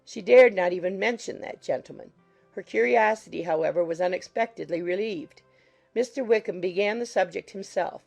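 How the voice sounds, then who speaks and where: Lower-pitched, creaky woman narrating. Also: darkish tone, faint music behind. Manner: measured, literary reading. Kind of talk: scripted audiobook narration.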